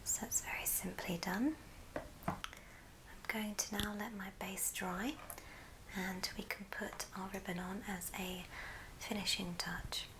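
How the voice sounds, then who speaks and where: A woman whispering breathily in a gentle ASMR craft tutorial, explaining step by step. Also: medium pitch, fairly even intonation, measured pace, pauses often, noisy background.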